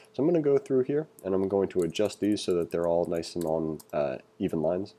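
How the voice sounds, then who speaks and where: A man's voice: lowish voice, dark tone. A man giving an informal read-along coding tutorial, thinking aloud in a casual manner as an instructor.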